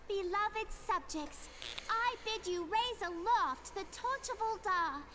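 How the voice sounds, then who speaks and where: Very high, stately young sultana. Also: female voice, noisy background. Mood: solemn, uplifting. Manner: stately, measured, lofty. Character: young queenly sultana addressing her subjects, solemn and earnest. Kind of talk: formal ceremonial speech, video game acting.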